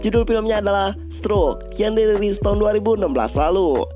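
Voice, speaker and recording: A man's voice: sits high, expressive, brisk, mellow, faint music behind. A man narrates a film recap in a casual, scripted style, addressing viewers directly in colloquial speech. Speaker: movie recap host.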